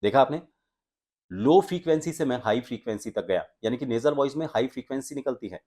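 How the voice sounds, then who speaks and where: एक आदमी जो आवाज़ सुधारने का पाठ पढ़ाता है, हमिंग की आवाज़ें करके दिखाता है और हिंदी लहजे में बोलता है।. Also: male voice, mid-range voice, animated, quick pace, darkish tone, breathy edge. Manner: explanatory, demonstrates humming sounds. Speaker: voice coach and anchor. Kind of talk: voice training lesson.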